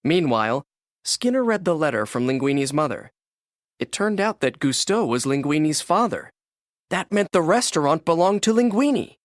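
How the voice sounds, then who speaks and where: A man narrating a children's read-along storybook in an expressive, scripted storytelling manner. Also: a little high, moderate pace, no room sound, studio quality.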